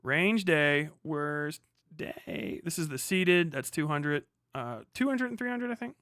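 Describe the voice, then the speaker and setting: A man's voice: fairly high pitch, lively intonation, measured pace, fairly bright tone, dry recording, studio quality. A middle-aged man giving casual, rambling reaction commentary as a livestream host, full of slang and swearing. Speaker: livestream host, former Marine.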